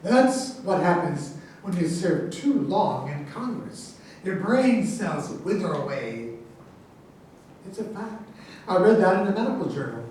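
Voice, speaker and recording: Heard from far off in an echoing room, a man acts out a bombastic president in a satirical stage play. The voice is high and swings widely in pitch. He is pompous, boastful and quick to taunt his rivals. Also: big pitch swings, a little mellow. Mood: scornful. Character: bombastic, boastful, insult-slinging incumbent president in debate. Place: live staged reading in an echoey room. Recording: echoey room, distant mic, faint noise.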